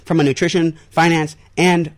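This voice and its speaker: A man's voice: a little high, level tone, moderate pace. A man co-hosting a radio talk show, talking earnestly, repeating words as he thinks, in a radio studio. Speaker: co-host.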